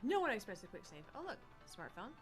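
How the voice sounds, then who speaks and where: A woman co-hosts a gaming video with casual chat. Her voice is mid-pitched and very animated, with faint music underneath. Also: fast talker. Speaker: let's play co-host.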